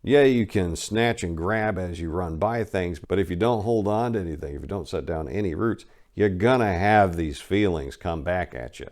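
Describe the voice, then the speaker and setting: A man reading a memoir aloud with dramatic emphasis, then commenting on it, with loud stresses and dropped asides. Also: sits low, normal speed, few pauses.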